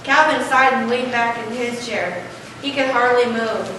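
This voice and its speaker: Young female story narrator, school stage reading, raised pitch, noisy distant sound. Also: unhurried. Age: young adult or younger, school pupil. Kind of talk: scripted story narration, readers' theater. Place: large echoey room. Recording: echoey noisy hall, distant mic.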